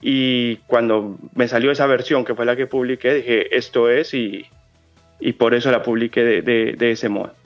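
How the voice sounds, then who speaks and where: A man's voice: medium pitch, fairly even intonation, normal speed, no room sound, dull audio, soft background music. A man in his thirties from Colombia, a guest calling in to a radio show, chatting casually with many fillers and restarts. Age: 30s. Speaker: phone-in guest.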